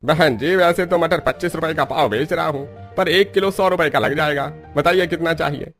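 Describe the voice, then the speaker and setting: A man's voice: fairly high pitch, normal speed, a bit bright. A man playing a rude, grumpy street trader and moneylender in a cartoon story, with a brusque, scolding manner. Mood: gruff, haggling. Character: grumpy, rude male vegetable seller and bullying moneylender. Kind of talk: Hindi cartoon story acting. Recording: music behind the voice.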